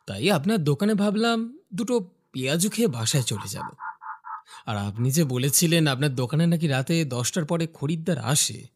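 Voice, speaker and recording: A man's voice: fairly high pitch, lively intonation, normal speed. A man voicing a curious young office worker who narrates his own ghost story in a cartoon, with acted dialogue. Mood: uneasy, questioning. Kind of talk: scripted first-person narration with acted dialogue.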